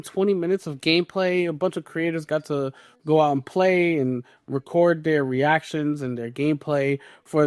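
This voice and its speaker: A man's voice: on the high side, no room sound. Casual male podcast host, close dry sound. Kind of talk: casual chat.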